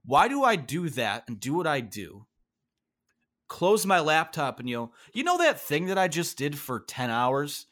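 A man's voice: on the high side, huge pitch swings, a little slow, fairly bright tone. A man hosting a sports podcast, talking bluntly and with stern emphasis. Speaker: podcast host.

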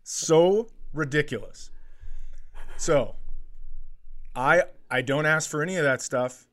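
A man's voice: on the high side, takes it slowly, a bit bright. A man co-hosting a comedy podcast, riffing casually with sarcastic, exasperated humour. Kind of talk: casual comic chat.

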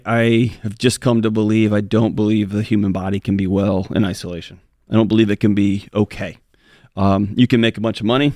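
An earnest man co-hosting a talk show, rambling a little and restarting his sentences as he talks. He speaks quickly in a somewhat low voice. The sound is close and dry. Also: a little mellow, dry recording. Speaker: co-host. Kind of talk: casual talk show conversation.

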